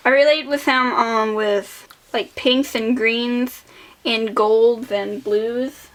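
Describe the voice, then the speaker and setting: Late-teen woman, high pitch, lisp, casual rambling commentary, offhand, self-deprecating, artist vlog voice-over. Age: late teens. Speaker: artist showing old sketchbooks.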